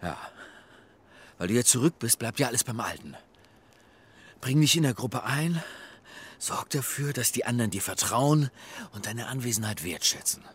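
An actor playing a menacing East German secret police handler in a crime radio play, taunting and condescending. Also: male voice, medium pitch, animated, normal speed, long pauses, fairly bright tone, breathy edge. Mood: mocking, condescending.

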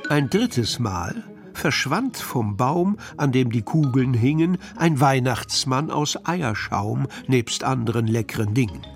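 A man reciting poetry for a children's radio programme in a measured, deliberate storytelling way. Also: mid-range voice, animated, gravelly, soft background music.

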